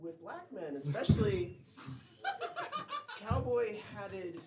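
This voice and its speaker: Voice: medium pitch, expressive, takes it slowly, roomy sound, distant mic, very noisy. An improv comedy player rambling hesitantly through a scene on a live stage, with lots of fillers and restarts.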